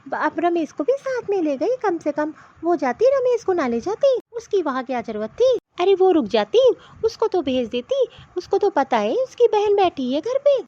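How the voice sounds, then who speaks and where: A woman's voice: very high-pitched, big pitch swings, moderate pace, hardly any pauses, dark tone, no echo. A woman voicing a protective middle-aged mother-in-law in a cartoon family story, reproachful and insistent, piling up pointed questions. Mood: indignant, scolding. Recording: dull, lo-fi cartoon voice track.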